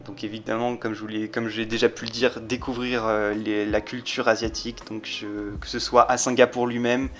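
Flat-voiced young Frenchman, measured and wry. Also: lowish voice. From France. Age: young adult. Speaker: exchange student. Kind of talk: casual testimonial.

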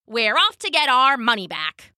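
Give this storybook duck , played by a woman, a high, bright voice that swings eagerly. He is a small, polite, cheerful fellow with a quacking, chanting way of talking and real determination. The sound is close and dry. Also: very animated, moderate pace, no echo, exaggerated voice. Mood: busy, firm. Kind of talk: children's storybook character voice.

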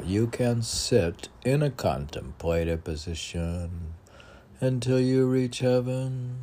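Slow-paced, low-voiced man reciting poetry. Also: warm tone. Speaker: poet reading own work. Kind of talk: recited spiritual poetry reading.

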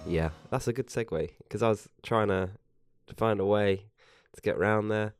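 A man's voice: on the low side, moderate pace, clean tone, no room sound, clean studio sound, faint music behind. An English man with a sore throat and a stuffy voice, co-hosting a casual podcast and rambling through stories with lots of restarts. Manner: rambling, self-interrupting, jokey. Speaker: podcast co-host. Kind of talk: casual chat.

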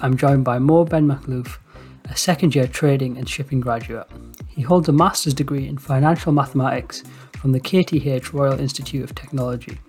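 A man's voice: mid-range voice, a little mellow. A young man hosting a podcast, reading a scripted introduction in an upbeat, formal way. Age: young adult. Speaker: podcast host. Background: faint background music.

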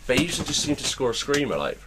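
A man's voice: medium pitch, very animated, very fast, background noise. A man co-hosting a casual podcast, rambling through football stories with lots of filler words. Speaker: podcast co-host.

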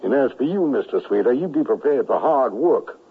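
A vengeful western villain with a gun, full of mock courtesy. His pitch swings widely and the voice is rough-edged. It comes over a dull vintage radio broadcast. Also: expressive, a little fast. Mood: vengeful, gloating. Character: menacing vengeful Confederate gunman, mockingly polite villain. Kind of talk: 1970s western radio drama acting.

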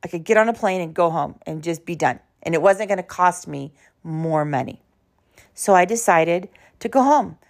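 A woman speaks as the host of a personal podcast, her voice a little low and slightly hoarse. She talks informally and conversationally, leaning on key words for emphasis as she tells stories and puts questions to the listener. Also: expressive, normal speed.

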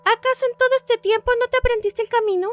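A woman's voice: extremely high pitch, fairly even intonation, fast talker, no room sound, voice pushed up. A woman with a Chilean accent voicing a kind, encouraging female reindeer in a radio play. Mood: urgent, calling. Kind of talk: radio play character acting. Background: music.

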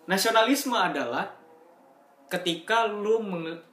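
A man's voice: sits high, expressive, slow, a bit bright, roomy sound. A young man co-hosting a casual chat, explaining ideas through everyday examples in slangy talk and laughing often.

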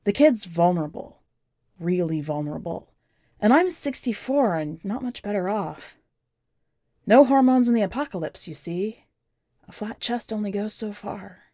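A woman reading scripted first-person fiction as a podcast narrator, with measured, deliberate phrasing. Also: mid-range voice, animated, dark tone, no echo, studio quality. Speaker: podcast host and story narrator.

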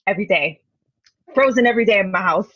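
A woman's voice: medium pitch, expressive, fast talker, fairly bright tone. A woman guest on a podcast, chatting casually and laughing often over a video call. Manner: giggly, playful, puts on silly voices. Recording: dull lo-fi call audio.

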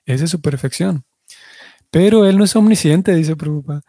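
A man teaching a devotional class, his voice pitched a little high but warm and mellow. He explains at length, backing up and correcting himself mid-sentence. The sound is dry and close. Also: normal speed, no echo. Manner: explanatory, restarts and self-corrections. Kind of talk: religious class.

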